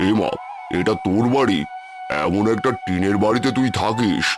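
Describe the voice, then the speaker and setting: A man voicing a haughty, suspicious rich city gentleman in a cartoon moral tale, condescending and complaining. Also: on the low side, moderate pace, music in the background, close to an everyday voice. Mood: disdainful.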